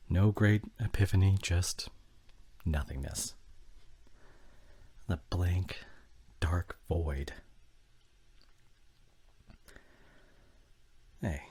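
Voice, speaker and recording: A man playing an injured, fading husband and father in an emotional ASMR audio drama, tender and intimate, with a breathy voice that often drops toward a whisper. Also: sits low, a little mellow, exaggerated voice. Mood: resigned, grieving. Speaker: audio roleplay voice actor.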